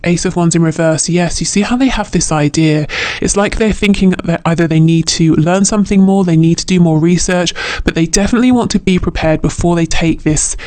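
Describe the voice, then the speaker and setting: A man with a fairly high voice reads tarot for the listener. He speaks off the cuff, reflecting aloud as he interprets. Phrases often get repeated or reworked along the way. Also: a little fast, hardly any pauses, darkish tone, no room sound. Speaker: tarot reader. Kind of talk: intuitive tarot reading, unscripted, addressed to the listener.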